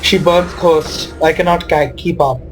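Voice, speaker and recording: A man's voice: on the high side, steady tone, unhurried, soft background music, rough recording. A man giving casual commentary over his own video game match. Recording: noticeable background noise.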